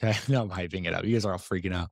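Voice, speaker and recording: A man hosting a business podcast, talking casually to his community with a slightly hoarse voice and lots of fillers and asides. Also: low voice, very fast, no room sound, studio quality. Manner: energetic, chatty, many fillers and asides. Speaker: podcast host and business coach. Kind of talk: casual talk to a community call.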